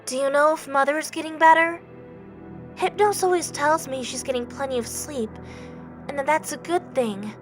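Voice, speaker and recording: A woman voicing a youthful, innocent younger-brother god in an audio drama, soft and feminine, with a timid, halting, earnest delivery. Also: high-pitched, lightly acted. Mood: anxious, apologetic. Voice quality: soft, delicate, feminine-sounding. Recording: background music bed.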